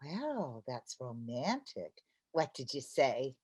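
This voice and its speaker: A woman playing an excitable, chatty, scheming housewife in an old-time radio comedy, with a theatrical manner. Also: a bass voice, breathy edge, full character voice. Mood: indignant, dry. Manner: theatrical, quick to jump to conclusions.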